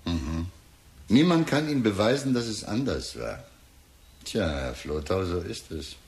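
An actor playing a talkative, sly police detective in a radio drama, deliberate and insinuating, with falsely sympathetic musing. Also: male voice, low-pitched, big pitch swings, darkish tone, heavily acted. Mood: smug, teasing. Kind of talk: German radio drama acting.

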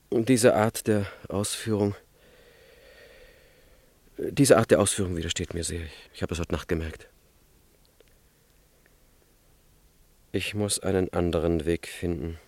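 Young conspirator, low-pitched, brooding and intense, deliberate delivery, radio play, dry studio sound. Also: male voice, a little mellow, no room sound. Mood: calculating. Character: brooding young male student revolutionary plotting an assassination. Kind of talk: dramatic radio play dialogue.

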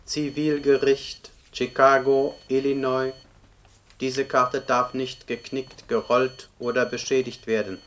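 A deadpan court computer in a radio play. The male voice reads official notices in a flat monotone at middling pitch, over audible background noise. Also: slow. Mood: impersonal, official. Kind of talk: formal document reading in a radio play. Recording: clearly noisy radio play recording.

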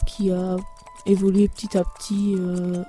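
A woman from Belgium who writes the lyrics for a duo, answering interview questions haltingly with many fillers. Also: fairly low pitch, completely flat delivery, mellow, faint music behind. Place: backstage after a concert.

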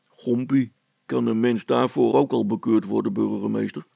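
A man voicing a grumbling, mocking village policeman in a children's story, hoarse and colloquial. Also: mid-range voice, fairly even intonation, normal speed, mellow, no echo, voice pushed down. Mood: mock innocent. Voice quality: hoarse, gravelly. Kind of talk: children's story character acting.

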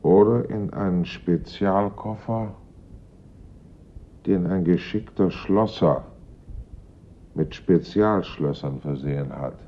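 An actor in a German radio drama playing a dogged police investigator, grave, suspicious and deliberate in his reasoning. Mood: brooding. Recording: dull old radio recording, noisy rumble.

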